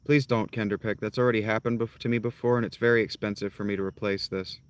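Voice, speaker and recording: A man chats loosely with his live viewers, wandering from one tangent to the next. His voice sits a bit low, and he swears freely as he talks. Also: fairly even intonation, fast. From North Carolina. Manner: rambling, profane, talks straight to his chat. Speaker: live streamer. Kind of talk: casual chat with live viewers.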